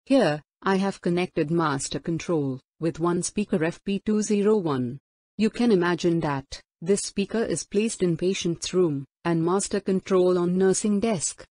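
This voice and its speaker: A woman's voice: on the low side, measured pace, mellow, no echo. A woman giving a formal, instructional scripted product demo narration. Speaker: product demonstrator.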